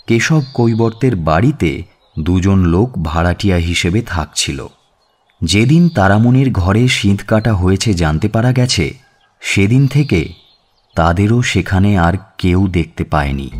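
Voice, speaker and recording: A man's voice: fairly low pitch, darkish tone. A man, reading a detective story in the first person as scripted dramatic audiobook narration.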